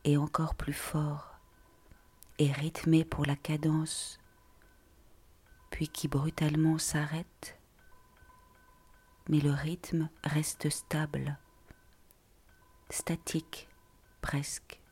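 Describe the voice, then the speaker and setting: Man, unhurried delivery, breathy half-whisper, literary monologue. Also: on the high side, completely flat delivery, a little mellow. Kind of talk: literary text read aloud as a monologue.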